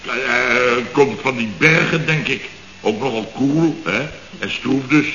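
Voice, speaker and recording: An actor playing a pompous, boastful building-firm boss in a 1960s radio comedy, rambling and self-satisfied. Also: male voice, medium pitch, measured pace, sharp tone, slight background noise. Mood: rambling musing. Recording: dull, echoey old radio studio recording.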